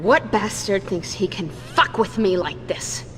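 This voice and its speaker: An actress playing a ruthless, volatile crime boss in a video game, imperious and threatening. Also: female voice, mid-range voice, animated, a little slow, big-room sound, soft background music, lightly acted. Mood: furious. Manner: imperious, threatening, foul-mouthed. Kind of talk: video game villain acting.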